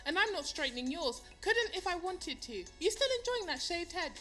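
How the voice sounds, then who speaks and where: A woman's voice: extremely high pitch, sing-song, normal speed, sharp tone, soft background music, full character voice. An actress playing a blunt, wry, sweary young woman radio host in an audio drama. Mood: wry, teasing. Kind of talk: audio drama banter between radio co-hosts.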